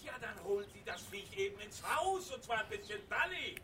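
A man playing a grumbling, impatient garbage man in a comic radio play, complaining loudly. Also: high-pitched, big pitch swings, brisk, full character voice. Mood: bossy. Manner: complaining, shouting from outside. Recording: distant voice with clear background noise.

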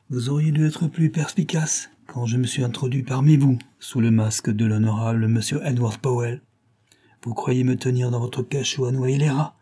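A man's voice: mid-range voice, mellow, husky, pitched above the performer's own voice, close to an everyday voice. A man reading a story, voicing a stern, triumphant male detective with a raised, commanding voice.

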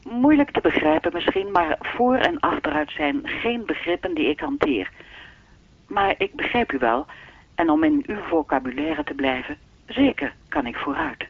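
A man's voice: extremely high pitch, sing-song, moderate pace, vocal fry, no room sound, full character voice. A man playing an enigmatic, all-knowing keeper of time in a radio play, speaking formally and teasingly through a telephone filter. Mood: formal, unruffled. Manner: formal, lecturing, unruffled, faintly teasing. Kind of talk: radio drama dialogue. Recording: thin, dry telephone-line sound.

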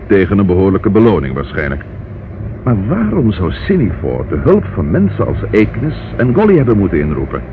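An actor in a radio play playing a questioning, pondering police inspector with a deliberate manner. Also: male voice, low-pitched, wildly swooping pitch. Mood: pondering, puzzled. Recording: tinny, noisy old radio recording.

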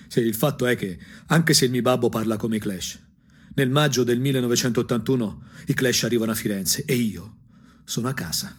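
A man with a Tuscan accent and a husky voice performs an ironic, angry, emphatic theatrical monologue for a punk radio drama. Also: medium pitch, fairly quick. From Anghiari, Tuscany, Italy. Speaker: stage actor and storyteller. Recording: music under the voice. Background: music.

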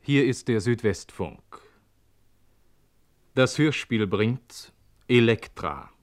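A man's voice: medium pitch, animated, slow pace. A man announcing a radio drama in a formal, scripted style.

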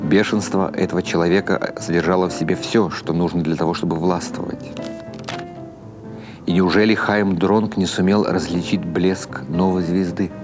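A man reads a literary story aloud with expressive, measured delivery. His voice sits very low, and music plays clearly behind him. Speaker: audiobook narrator. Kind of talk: scripted literary narration.

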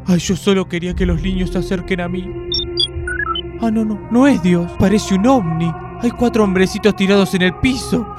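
A man acting a high-voiced, fretful parish priest. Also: moderate pace, loud music behind the voice, close to an everyday voice. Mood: panicked. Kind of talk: comedic amateur radio play acting.

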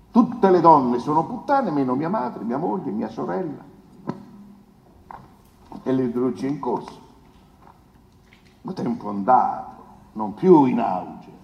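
A smug defence lawyer in a stage courtroom drama. His pompous, insinuating oratory swings widely between hushed asides and emphatic swells. The voice rings with long reverb in a hall, over some background noise. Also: a little high. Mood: indignant oratory. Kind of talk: theatrical courtroom oration, stage adaptation of a trial.

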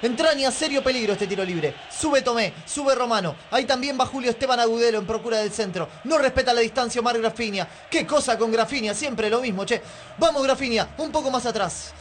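A man playing an excitable, florid Argentine football commentator in a radio drama, with grandiloquent delivery. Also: very high-pitched, slight background noise. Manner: grandiloquent, theatrical.

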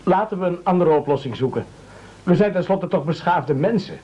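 An actor playing an eager, evasive and excitable castaway gentleman in an absurdist radio play, speaking politely. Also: high-pitched, a little fast, husky. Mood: conspiring, polite. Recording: dull lo-fi old radio recording.

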